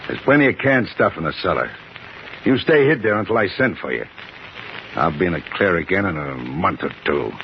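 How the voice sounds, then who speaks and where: An actor playing a hard, vengeful gangster boss in a radio drama, tough, slangy and domineering. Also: male voice, low voice, lively intonation, brisk. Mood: scheming, commanding. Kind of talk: 1950s radio drama acting. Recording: dull, noisy vintage 1950s radio recording.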